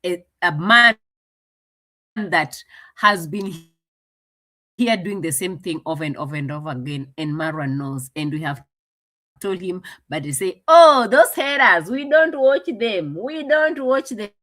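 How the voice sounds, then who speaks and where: A woman's voice: lowish voice, measured pace, pushed and bright. A woman commenting casually on a clip, translating and mocking with frequent laughs.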